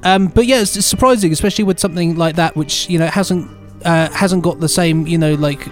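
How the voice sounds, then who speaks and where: A man's voice: a little high, a little fast, soft background music. A man co-hosting a podcast, chatting casually in an upbeat, jokey way and reading out fan posts. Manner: upbeat, jokey, playful asides. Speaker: podcast co-host.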